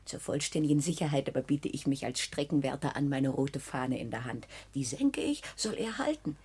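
A woman's voice: extremely low pitch, lively intonation, moderate pace, a little mellow. An actress playing a worldly, conspiratorial neighbour woman in a 1960s German radio play, insinuating, florid and emphatic as she eggs someone on. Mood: scheming.

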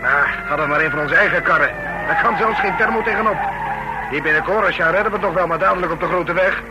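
An actor playing a gruff, grumbling, mocking kidnapper and getaway driver in a radio play, speaking in a sneering, slangy way. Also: male voice, sits high, quick pace, lightly acted. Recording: noisy old radio drama recording.

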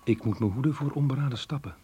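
An actor playing a cautious, reasonable headmaster in a radio play, with noisy ambience. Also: male voice, medium pitch, fast talker, close to an everyday voice. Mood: guarded, reasonable. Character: guarded, reasonable male head of a school. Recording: noisy, with room ambience behind.

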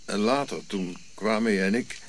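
A reflective African prince played by a man tells of his royal past in a radio play. His voice is on the low side, his phrasing eloquent and measured, with pauses. Crickets and clear background noise sit behind him. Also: close to an everyday voice. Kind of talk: audio drama narrative monologue.

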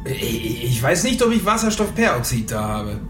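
Actor as offhand, shady photographer, music behind. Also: male voice, medium pitch, very animated, normal speed, natural voice. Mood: hesitant, compliant. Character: suspicious male photographer, shady criminal accomplice. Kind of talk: crime radio drama acting.

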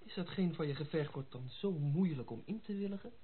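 A level-headed gentleman friend in a radio drama. His voice is fairly high and rough-edged, with a measured, matter-of-fact manner. The sound is muffled and lo-fi. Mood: reasoning, sympathetic. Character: sensible gentleman friend, loyal, pragmatic and measured. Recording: muffled lo-fi radio play recording.

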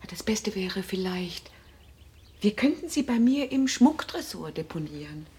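A woman's voice: mid-range voice, sing-song, measured pace, a little mellow. An actress in a radio drama playing a concerned, protective woman who mediates a family quarrel matter-of-factly.

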